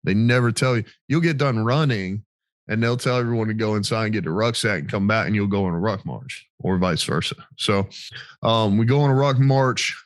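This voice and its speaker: Low-voiced American man, dry close sound. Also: a little fast, dry recording. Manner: informal, sweary. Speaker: podcast host, military veteran. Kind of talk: casual chat, storytelling.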